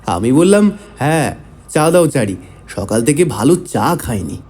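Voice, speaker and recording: A man's voice: mid-range voice, sing-song, measured pace, darkish tone. A man narrating a scripted audio story.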